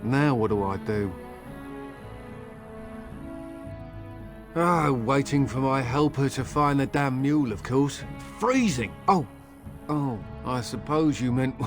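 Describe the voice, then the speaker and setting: A man's voice: mid-range voice, lively intonation, unhurried, over music, natural voice. An actor playing a hapless, nervous travelling merchant in a fantasy game, rambling and questioning himself as he talks. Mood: nervous, exasperated. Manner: flustered, rambling, self-questioning. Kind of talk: video game dialogue acting.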